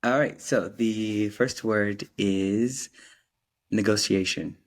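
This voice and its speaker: A man's voice: on the low side, slow pace. A man hosting a casual podcast interview, often repeating short acknowledgments and asking questions. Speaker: podcast host.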